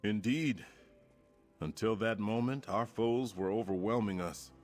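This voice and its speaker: An actor playing a solemn, loyal warrior comrade in a fantasy game, with a husky voice and formal speech. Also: male voice, fairly low pitch, measured pace, faint music behind, natural voice. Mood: earnest, grateful. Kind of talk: fantasy video game dialogue.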